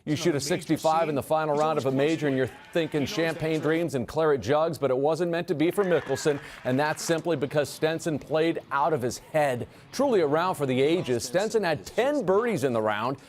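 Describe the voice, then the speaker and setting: A man with an American accent reading a scripted sportscast over highlights in a punchy, playful delivery. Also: a little fast, few pauses, slight background noise. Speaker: TV sports anchor.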